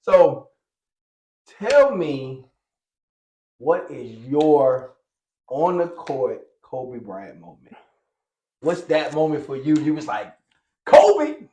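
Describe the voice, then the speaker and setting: A man's voice: fairly high pitch, sing-song, extremely slow, long pauses, warm tone, reverberant, slight background noise. A man co-hosting a sports podcast, asking questions casually and enthusiastically, repeating short affirmations. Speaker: podcast co-host.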